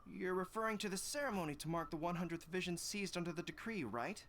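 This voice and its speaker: Composed, formal army general. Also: male voice, sits high, animated, fairly bright tone, a little hiss or hum. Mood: guarded. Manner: measured, formal, authoritative.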